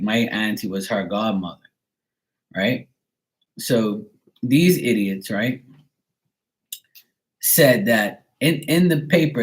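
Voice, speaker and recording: Man, from Bermuda, casual live stream chat, rambling, lots of fillers, slightly deep. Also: low-quality recording. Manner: rambling, chatty storytelling with frequent fillers.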